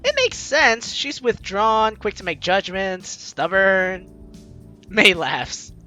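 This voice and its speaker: Playthrough host: bright, forceful voice, very lively pitch, expressive reading mixed with off-the-cuff reactions, faint music behind. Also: takes it slowly. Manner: exclaims and voices dialogue expressively. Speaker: gaming video host reading and reacting to a visual novel. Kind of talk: playthrough narration with animated ad-libbed reactions.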